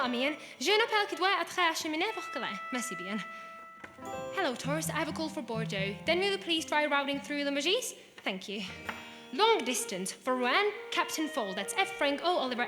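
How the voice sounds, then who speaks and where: A woman plays a quick, efficient young switchboard operator, her high voice swooping lively through each line. She is crisp and businesslike, and the stage hall rings around her with music underneath. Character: brisk young female army telephone operator.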